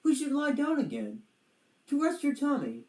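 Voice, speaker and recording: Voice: high-pitched, huge pitch swings, moderate pace, darkish tone, reverberant, pitched above the performer's own voice, poor audio. Narrator as a pompous, plucky boy adventurer. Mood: reassuring. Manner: pompous, proper. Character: brave, pompous young boy adventurer, earnest and gentlemanly.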